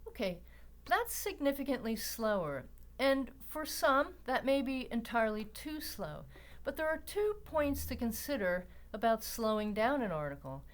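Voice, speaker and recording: Woman tutorial narrator with lively intonation. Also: on the high side, moderate pace. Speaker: library tutorial presenter. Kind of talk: instructional screencast narration.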